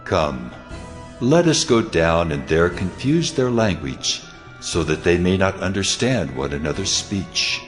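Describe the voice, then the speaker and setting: Almighty deity, very deep, solemn, commanding, measured declarations, dramatized scripture. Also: male voice, sing-song, faint music behind. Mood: grave, commanding. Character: God speaking, grave and commanding male deity.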